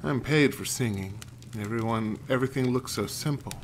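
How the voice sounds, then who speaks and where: A man's voice: medium pitch, moderate pace, a little hiss or hum. A man streaming a horror game, chatting and reading in-game notes aloud, sometimes stumbling over names. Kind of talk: let's play commentary and reading notes aloud.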